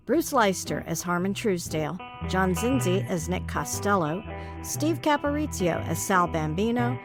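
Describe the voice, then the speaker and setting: Woman announcer over guitar music. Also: mid-range voice. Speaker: podcast creator and announcer. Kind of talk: scripted closing announcements.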